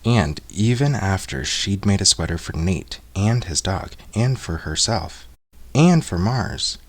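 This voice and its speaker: A man reading a children's picture book aloud in an expressive storytelling style, acting out the characters. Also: sits low, measured pace. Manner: expressive, acts out character voices.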